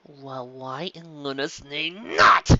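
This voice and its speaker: A woman's voice: very deep voice, slow pace, sharp tone, dry recording, lo-fi sound, heavily acted. A woman voicing an exasperated young female unicorn shopkeeper in a storybook reading, scolding in a snappish, bitter way. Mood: irritated.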